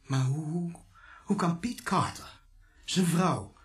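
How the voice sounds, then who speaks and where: A man's voice: fairly high pitch, takes it slowly, soft background music. An actor playing a puzzled, deferential junior policeman in a radio play, speaking in a hesitant, questioning way. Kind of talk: Dutch radio play acting.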